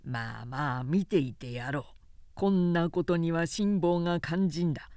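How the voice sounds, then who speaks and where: A woman's voice: sits low, measured pace, dark tone, no echo, clean studio sound, voice pushed down. A woman reading as a proud, grumpy old samurai father-in-law in a story, muttering with weary restraint. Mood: stubborn resolve. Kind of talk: dramatic audiobook character reading.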